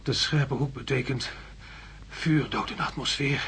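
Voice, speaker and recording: Halting, exhausted, delirious astronaut. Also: male voice, medium pitch, a bit bright, slight background noise. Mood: anguished delirium. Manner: halting, repetitive, muttering in broken phrases. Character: exhausted delirious male astronaut reliving a perilous landing. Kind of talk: radio drama acting.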